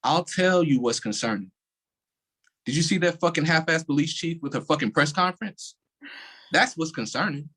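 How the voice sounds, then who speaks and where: An actor playing a withdrawn, bitter police officer in a staged play reading, giving short, clipped replies. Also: male voice, fairly high pitch, lively intonation, normal speed, a bit bright, husky. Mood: angry. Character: weary, guarded police officer, curt and bitter.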